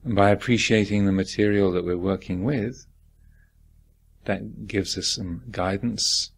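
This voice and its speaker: Low-voiced man guiding meditation, very unhurried. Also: fairly even intonation, creaky, husky. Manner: gentle reflective questioning, deliberate phrasing with long pauses. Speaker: meditation teacher. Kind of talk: guided meditation instruction.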